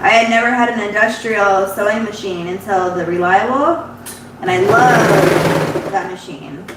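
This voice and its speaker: Woman at a mid pitch, stuffy-nosed and chatty, live-streaming her sewing. She is recorded off-mic in an echoey room. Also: measured pace, distant mic. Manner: chatty and rambling, with frequent restarts. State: congested, runny nose. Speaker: embroidery shop owner. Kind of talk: casual live-stream chat while sewing. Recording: very echoey room, voice off-mic, audible background hum.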